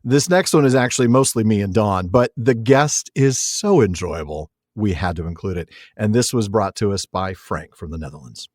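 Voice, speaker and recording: Lively male podcast host, dry sound. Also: fairly low pitch, moderate pace, hardly any pauses, dry recording. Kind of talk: casual chat.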